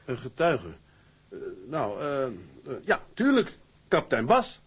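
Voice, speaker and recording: An actor playing an earnest, bashful young sailor with a halting, deferential way of talking, in a drama. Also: male voice, medium pitch, huge pitch swings, slightly rough, dry recording, exaggerated voice. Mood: hesitant. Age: young adult. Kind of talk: broadcast drama acting.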